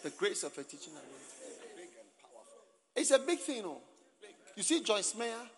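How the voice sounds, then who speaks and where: A man with a Ghanaian English accent preaching a sermon at a church camp, swinging from soft asides to shouting and calling out to the crowd for answers. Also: high voice, big pitch swings, normal speed. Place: large hall. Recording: long reverb of a large hall.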